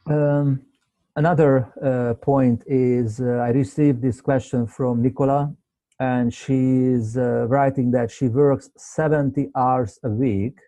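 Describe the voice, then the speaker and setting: Hungarian-accented male webinar host, non-native English speaker, halting delivery, very dark tone, dry close sound. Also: medium pitch, steady tone, no echo. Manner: halting, many repeated words and fillers. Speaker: webinar host, medical doctor. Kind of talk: unscripted webinar Q&A.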